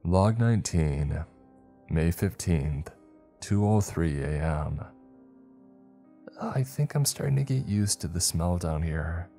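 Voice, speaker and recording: A man voicing a weary, trapped man who records a grim log in a slow, halting, subdued way for a horror story. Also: sits low, lively intonation, mellow, soft background music, exaggerated voice. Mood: subdued, uneasy.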